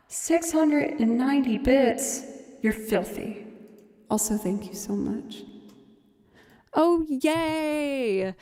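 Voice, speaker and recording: A woman with a low alto voice, chatting casually and upbeat between songs on her live music stream. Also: animated, extremely slow, long pauses, mellow, in a large hall. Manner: chatty and upbeat, thanking viewers.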